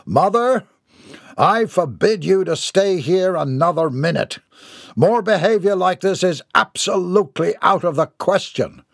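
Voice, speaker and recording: A man voicing a pompous, indignant gentleman and father in an audiobook, barking his words. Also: on the high side, expressive, normal speed, vocal fry, few pauses, no room sound, studio quality, pitched above the performer's own voice. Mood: pompous outrage.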